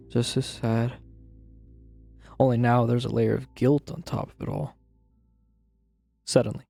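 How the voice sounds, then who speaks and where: A man playing a troubled, guilt-ridden narrator confessing a grim childhood memory in a brooding, dramatic horror-story style, with a gravelly, husky voice. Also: mid-range voice, darkish tone, no room sound, full character voice. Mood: anxious, guilty. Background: music.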